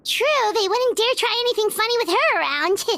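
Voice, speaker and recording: A woman voicing a small, chatty, childlike fairy companion in a video game, curious and expressive with a squeaky voice. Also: normal speed, no echo, heavily acted. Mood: curious, amused. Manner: expressive, refers to self in third person.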